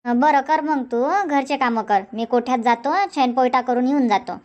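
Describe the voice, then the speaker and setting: A woman voicing a chatty, sharp-tongued village housewife in a dubbed cartoon comedy, scolding and complaining. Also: high-pitched, steady tone, normal speed, lo-fi sound, close to an everyday voice.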